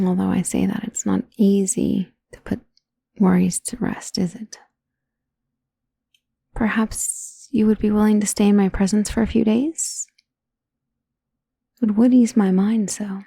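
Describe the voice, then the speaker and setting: Woman playing powerful female vampire, aristocratic, doting, soft mellow tone, formal diction, intimate ASMR drama, very dry close recording. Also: medium pitch, vocal fry, slightly rough, no echo. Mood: reassured, protective. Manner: formal, refined, genteel wording. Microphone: close, dry studio microphone.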